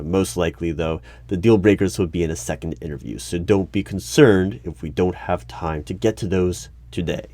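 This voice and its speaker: A man playing an awkward, officious hiring manager in an ASMR interview roleplay, speaking formally with halting stammers and over-polite phrasing. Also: deep voice, darkish tone, no room sound. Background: computer keyboard typing.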